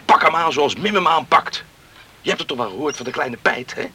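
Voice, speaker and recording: An actor playing an earnest, proud younger brother in a radio play, speaking with insistent emphasis. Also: male voice, a little high, expressive, fairly quick, sharp tone, a little hiss or hum. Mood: pleading. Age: young adult.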